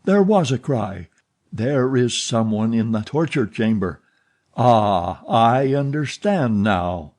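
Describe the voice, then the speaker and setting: A man reading an audiobook, voicing a jealous, menacing man who speaks forcefully and with menace. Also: medium pitch, lively intonation, slow.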